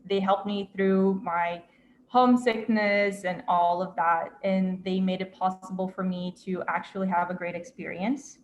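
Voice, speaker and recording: A woman's voice: medium pitch, slight background noise. A woman in her twenties with a Brazilian Portuguese accent, giving a grateful prepared speech with hesitant fillers. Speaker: former exchange student speaker.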